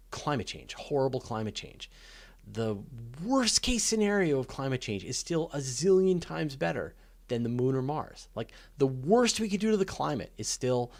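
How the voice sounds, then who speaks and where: A man's voice: medium pitch, a little mellow. A man hosting a live question and answer session, talking off the cuff in an informal, opinionated, emphatic way and thinking aloud. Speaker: host of a live space and astronomy Q&A. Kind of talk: casual off-the-cuff Q&A commentary.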